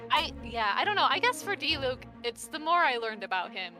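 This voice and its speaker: A high-voiced woman chats informally over clearly audible music. Her pitch moves around a lot, and she comes across as a giggly co-host in a relaxed conversation. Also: brisk. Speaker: co-host discussing video game quests. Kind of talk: casual chat.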